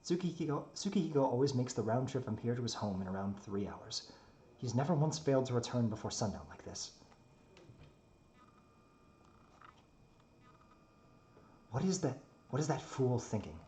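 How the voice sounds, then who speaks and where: A man's voice: mid-range voice, expressive, brisk, warm tone, a little hiss or hum, lightly acted. A man voicing a proud, grumpy non-human creature in a dramatic story reading, haughty and brooding. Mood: impatient, worried. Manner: brooding, haughty, muttering monologue.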